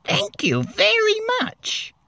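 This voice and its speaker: A man voicing a prim, polite evil wizard henchman in a shrill, delighted falsetto for a story reading. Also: huge pitch swings, slow pace, vocal fry, sharp tone, dry recording, heavily acted.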